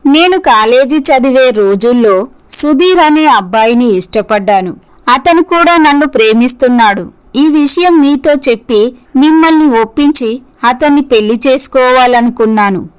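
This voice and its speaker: A woman's voice: sits high, animated, moderate pace, clear, resonant tone, poor audio. A woman voicing a remorseful young woman in an earnest, apologetic way for a scripted moral story. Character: remorseful young woman confessing her past mistake.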